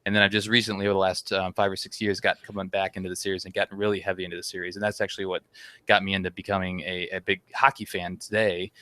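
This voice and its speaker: A man's voice: low-pitched, little pitch movement, racing, bright, forceful tone, dry recording. A man in his thirties co-hosting a podcast, chatting casually and leading the talk with questions. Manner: leads the talk, asks questions. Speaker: podcast co-host. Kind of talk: casual chat.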